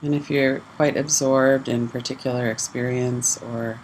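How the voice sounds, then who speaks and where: A person leading a guided mindfulness meditation in measured short phrases with pauses between them. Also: mid-range voice, steady tone, slight background noise, poor audio. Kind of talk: guided mindfulness meditation, slow gentle instruction.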